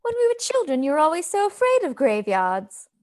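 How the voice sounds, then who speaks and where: A woman's voice: high voice, wildly swooping pitch, fairly quick, darkish tone. A woman in a radio play acting an earnest, anxious, caring young Victorian lady. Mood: concerned. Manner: earnest, solicitous.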